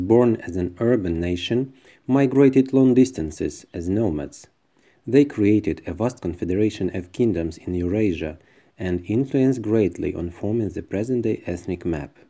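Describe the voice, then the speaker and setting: A Ukrainian man with a Ukrainian accent, speaking English as a second language and narrating a history video carefully and deliberately. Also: lowish voice, dark tone. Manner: careful, deliberate non-native delivery.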